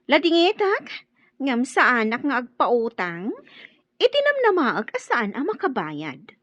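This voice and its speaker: High, swooping actress as a haughty young woman. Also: huge pitch swings, a little slow, no echo, heavily acted. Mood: scornful. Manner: sneering, sharp-tongued. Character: haughty, scornful young daughter-in-law. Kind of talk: Ilocano radio drama acting. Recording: dry, dull lo-fi audio.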